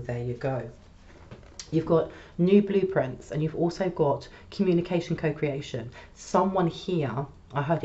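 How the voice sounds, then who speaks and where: A woman's voice: on the low side, dark tone. A woman with a slight cold, crackly voice, giving a collective tarot reading, often checking in with "okay?". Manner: soothing, repeats "okay?" often. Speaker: tarot reader. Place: small room at a table. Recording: roomy, slightly dull home recording.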